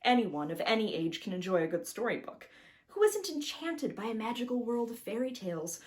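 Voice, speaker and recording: A woman's voice: a little high, very animated, fairly quick, darkish tone. A woman voicing a precocious, mannerly, bookish boy in a children's storybook, with formal and pompous phrasing. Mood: indignant.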